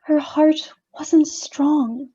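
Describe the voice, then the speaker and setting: On a dull, muffled recording, a falsely sympathetic female mourner, acted by a woman talks in a high, very slow voice. Every soft condolence in this radio play carries a pointed, insinuating edge. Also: fairly even intonation. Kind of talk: stage radio-play acting.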